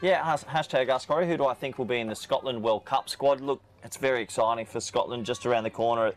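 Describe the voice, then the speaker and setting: A man's voice: medium pitch, quick pace, slight background noise. An Australian man doing live cricket commentary, chatty and joking with his co-commentator while reading out viewer questions.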